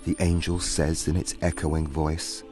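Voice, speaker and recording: A man's voice: rumbling low, flat delivery, unhurried, a little mellow, soft background music. A man narrating a scripted fantasy story in a theatrical storybook manner.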